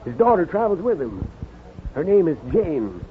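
High-voiced folksy western gossip. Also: male voice, big pitch swings, moderate pace. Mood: gossipy, amused. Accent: American. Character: chatty, folksy old-West cafe swamper, gossipy man. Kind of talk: 1950s radio western acting. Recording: muffled, noisy 1950s radio recording.

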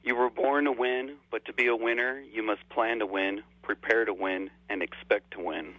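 A male radio caller with a low voice, calmly reading out a few quotes. He comes through a dull, muffled phone-in line that sounds dry and close. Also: moderate pace, dry recording.

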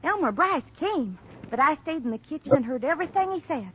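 A murderous, lovesick American ranch woman in an old radio play. Her voice is high and intensely pleading, as if confessing everything. The recording is dull, with faint noise behind it. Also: lively intonation, brisk. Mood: tense confession. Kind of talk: 1950s radio drama acting.

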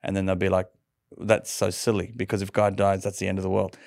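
Low, gravelly Australian man. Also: completely flat delivery, a little fast, darkish tone, dry recording, clean studio sound. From Sydney, Australia. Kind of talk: conversational interview, personal storytelling.

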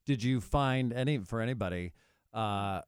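A man's voice: mid-range voice, normal speed, clear, resonant tone, no echo, clean studio sound. A man hosting a podcast and interviewing guests in a casual, conversational style. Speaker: podcast host.

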